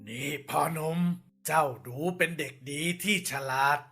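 A man's voice: a little high, fairly even intonation, normal speed, gravelly, bright, forceful tone, husky, soft background music, full character voice. A man playing a persuasive old trader in a storybook tale, coaxing and reassuring. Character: kindly persuasive old man trading magic beans.

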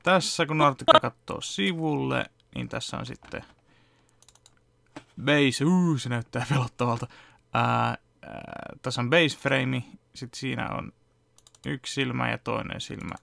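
A man in his thirties with a gravelly, fry-tinged voice talks through an editing timeline at his home desk. He rambles easily and chuckles often. The sound is close and dry, with no room around it. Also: medium pitch, fairly bright tone, no echo. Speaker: fan dub editor and vlogger. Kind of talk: casual behind-the-scenes walkthrough. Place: home room with computer setup.

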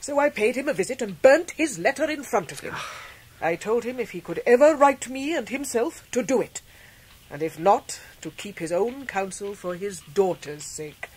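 A female actor playing a brisk, kind-hearted elderly English great-aunt in a radio drama, husky and decisive. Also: a little high, animated, a little hiss or hum, natural voice, low-quality recording. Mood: earnest. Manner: clipped, decisive. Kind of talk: 1990s radio drama acting.

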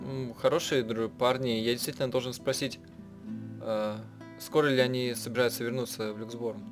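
A man narrating a visual novel playthrough as a live translator, haltingly, rephrasing as he goes. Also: mid-range voice, level tone, music in the background. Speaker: let's-play narrator and translator.

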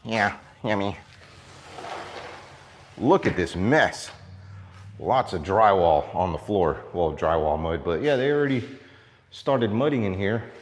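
A man's voice: deep voice, slow pace, long pauses, a little hiss or hum. A man, vlogging casually outdoors on a farm, holding his phone at arm's length. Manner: chatty, animated, coos at his dogs. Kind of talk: casual vlog chat.

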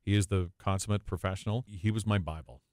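A man's voice: deep voice, fast, a little mellow, no echo, clean studio sound. A man, a guest who works as an arena announcer, talking in an effusive, emphatic way.

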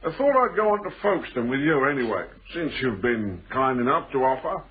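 An English actor playing a smooth, sinister man posing as a stranded traveller in a British radio thriller, breezy, knowing and over-familiar. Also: mid-range voice, sing-song, fairly quick. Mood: glib, evasive. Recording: noisy, tinny old radio drama recording.

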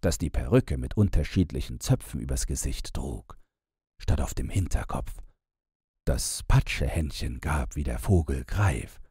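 Deep, husky man reading a bedtime fairy tale. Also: expressive, measured pace, warm tone, dry recording, studio quality. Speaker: audiobook narrator.

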